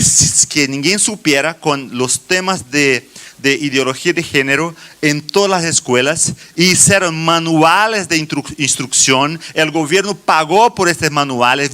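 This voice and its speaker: Slow, bright-voiced Brazilian man preaching. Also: on the high side. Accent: Brazilian Portuguese. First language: Portuguese. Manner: halting, with deliberate pauses. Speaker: conference speaker, children's ministry teacher. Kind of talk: plenary address at a church conference.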